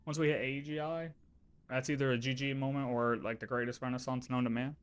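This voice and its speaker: A man's voice: medium pitch, flat delivery, fairly quick, smooth. A man livestreaming with casual, off-the-cuff commentary, emphatic and repeating himself to make his point. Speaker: livestreamer reacting to a video.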